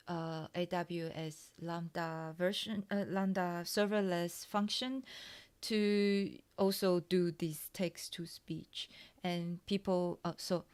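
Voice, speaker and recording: A woman, a Mandarin speaker, talking softly and hesitantly through a coding tutorial with many fillers and pauses. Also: on the low side, smooth. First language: Taiwanese Mandarin. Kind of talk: soft-spoken ASMR coding walkthrough.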